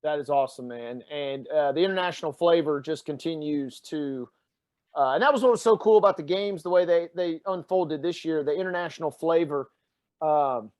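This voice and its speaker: A man from Tennessee with a Southern accent, chatting as a guest and telling animated stories with lots of fillers. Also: on the high side, normal speed. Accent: Southern American, Tennessee. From Tennessee, USA. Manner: animated storyteller with many fillers. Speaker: podcast guest. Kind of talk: casual chat.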